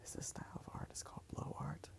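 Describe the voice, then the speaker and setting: A man speaking softly in a casual, rambling ASMR style as he draws. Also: quick pace. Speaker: ASMR video creator. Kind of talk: whispered ASMR rambling while drawing.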